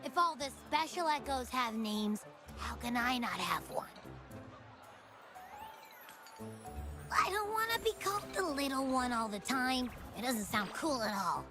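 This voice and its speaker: A woman's voice: high-pitched, sing-song, moderate pace, over music. A woman voicing a small, childlike, cheeky and dramatic hungry creature in a video game. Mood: puzzled, indignant. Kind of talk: video game character acting.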